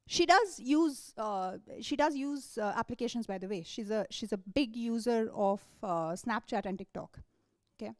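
A woman's voice: on the high side, animated, normal speed, a little mellow, dry recording, clean studio sound. A woman giving a live conference talk. She speaks conversationally, with many fillers and restarts, and puts questions to the room.

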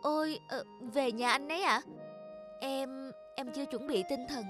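A woman's voice: high voice, a little slow, music in the background. A woman voicing a young, nervous, self-doubting girlfriend in a cartoon. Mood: flustered. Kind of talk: cartoon voice acting.

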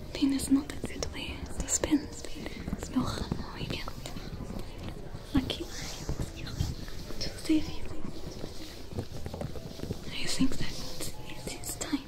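A woman voicing a young female alien smuggler with a French accent, who gently tends a stranger's wounds in a whispered, soft-spoken ASMR roleplay. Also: high voice, fairly even intonation, slow pace, long pauses, rough recording. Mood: soothing whisper. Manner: gentle, reassuring, coaxing. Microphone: close to the camera.

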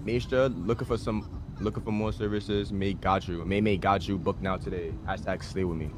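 A man's voice: low voice, steady tone, moderate pace. An American man in casual, slangy, rambling chat while roleplaying in a game. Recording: game street noise behind voice.

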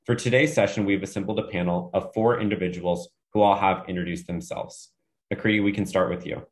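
Man, panel moderator, very deep voice, fast-talking, earnest. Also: fairly even intonation. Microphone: webcam video call.

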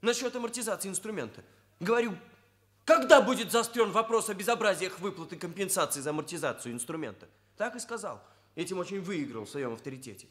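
Young male hairdresser, Soviet radio play: high-pitched, swooping delivery, solemn officialese, touchy and earnest. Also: lively intonation, fast, a bit bright. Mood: aggrieved, earnest. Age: young adult. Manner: stilted, pompous officialese. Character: earnest, aggrieved young male hairdresser, stiffly bureaucratic speech. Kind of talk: Soviet radio drama acting.

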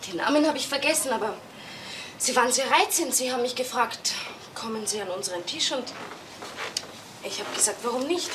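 An actress playing a carefree, defiant young hairdresser in a radio crime drama, heard a bit off-mic. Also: female voice, on the high side, lively intonation, brisk, lightly acted. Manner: breezy, defiant. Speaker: witness. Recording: distant voice, hissy old radio tape.

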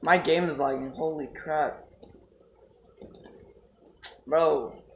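A man's voice: fairly high pitch, steady tone, drawn-out words, roomy sound, a little hiss or hum. A teenage boy in casual voice chat while gaming, bossy and trash-talking. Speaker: gamer.

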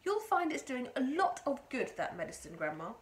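A woman's voice: sits high, very animated, moderate pace, voice pushed up. A woman voicing a cheeky young boy in a children's storybook, eager, coaxing and mock-polite. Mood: proud, cheerful.

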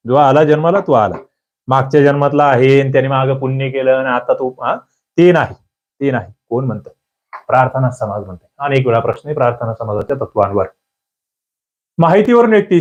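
A man teaching an exam prep lecture, quizzing listeners with lively rhetorical questions. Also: on the high side. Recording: dull lo-fi audio.

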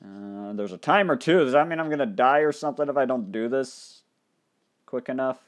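A young man hosting a casual game commentary, thinking aloud and breaking into loud excited outbursts, his mouth dry and his voice getting tired. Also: medium pitch, a little fast. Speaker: gaming channel host. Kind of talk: casual let's play commentary.

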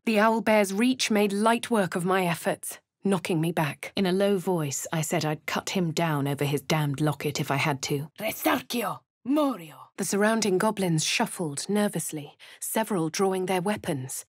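An actress with a posh English accent voicing a plucky female adventurer hero for a video game, crisp and well-spoken, close to a studio mic. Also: mid-range voice, dry recording. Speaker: player character.

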